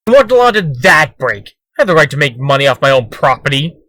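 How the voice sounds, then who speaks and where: Man playing cocky male trickster, crisp forward tone, glib, self-justifying, dry studio-like sound, comic dub. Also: on the high side, very animated, a little fast, a bit bright, no room sound. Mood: cocky, indignant. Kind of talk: comic dub dramatic acting.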